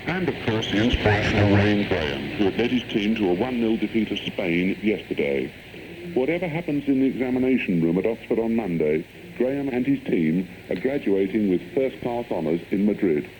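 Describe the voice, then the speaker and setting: Low-voiced male sports reporter, noisy shortwave. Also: animated, brisk, darkish tone. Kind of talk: scripted radio sports report. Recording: noisy shortwave radio broadcast.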